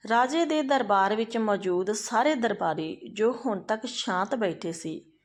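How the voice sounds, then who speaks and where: A woman's voice: medium pitch, lively intonation, a little slow. A woman, narrating a moral folk tale as a storyteller.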